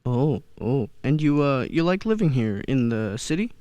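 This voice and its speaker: An actor playing a kindly old Santa figure in a radio play, gentle and hesitant, often repeating words. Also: mid-range voice, sing-song, normal speed, dry recording, natural voice. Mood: genial. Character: kindly old man who believes he is Santa. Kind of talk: stage-style radio play acting.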